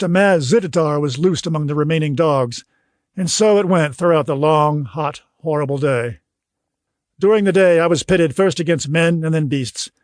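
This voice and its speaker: A man reading scripted audiobook narration in a measured, literary storytelling manner. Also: a little high, dry recording, clean studio sound.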